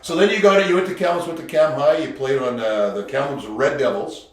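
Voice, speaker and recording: Fast-talking brash man in an echoey room. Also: on the high side. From Canada. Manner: brash, animated storyteller who swears freely. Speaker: podcast host. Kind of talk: casual interview chat.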